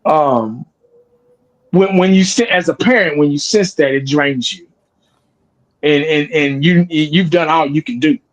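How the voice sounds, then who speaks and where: A man's voice: a little high, unhurried, pauses often, rough recording. A man, a sports video creator talking candidly in a casual podcast chat, profane and rambling with restarts. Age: 40s.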